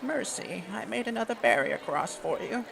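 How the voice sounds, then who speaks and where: A man voicing a frail, forgetful old village woman who speaks gently and halts mid-sentence. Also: high-pitched, animated, normal speed, gravelly, a crowd in the background, pitched above the performer's own voice, heavily acted. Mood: gentle pleading.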